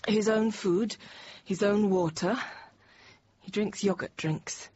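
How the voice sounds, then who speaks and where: An actress playing an anxious, tender thirty-year-old infant teacher, confiding her account in halting, reflective phrases in a radio drama. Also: mid-range voice, a little mellow, lightly acted. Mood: haunted, reflective. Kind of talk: radio drama monologue, intimate first-person narration. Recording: dull radio sound with faint noise.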